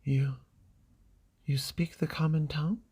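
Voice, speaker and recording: A man's voice: fairly high pitch, steady tone, a little slow, mellow, slightly rough, voice pushed up. A man voicing a timid young princess, hesitant and earnest, in a dramatic reading of a fantasy story. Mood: tearful surprise. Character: timid, soft-spoken young princess finding her courage. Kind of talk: dramatic graphic novel reading.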